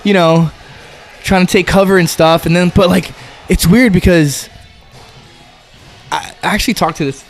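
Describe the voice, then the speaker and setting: A man's voice: high-pitched, fairly even intonation, moderate pace, long pauses, faint music behind. A young man from Arizona whose first language is Spanish, a guest on a casual podcast, speaking in an easygoing, agreeable way full of fillers. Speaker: guest, MMA fighter.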